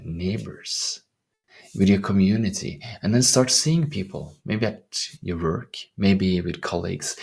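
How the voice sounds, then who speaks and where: Low gravelly Norwegian-accented man. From Norway. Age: middle-aged. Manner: soft-spoken, earnest, unhurried with searching restarts. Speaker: life coach. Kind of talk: reflective vlog monologue. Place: outdoors in the wilderness.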